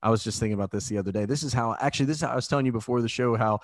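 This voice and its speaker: A man's voice: mid-range voice, dry recording. Chatty male podcast host, dry sound. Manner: chatty, reflective, quick to laugh. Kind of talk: casual interview conversation.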